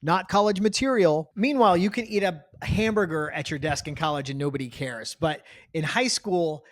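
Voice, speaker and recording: A man's voice: a little high, moderate pace. A man co-hosting a podcast in casual, joking chat, with a wry, sweary, exaggerating way of talking. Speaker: podcast co-host.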